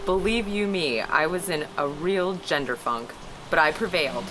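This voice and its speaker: A woman's voice: sits low, moderate pace, close to an everyday voice. A woman acting a brash, wry, flirtatious biker woman in a script table read, bantering dryly. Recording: clearly noisy room recording.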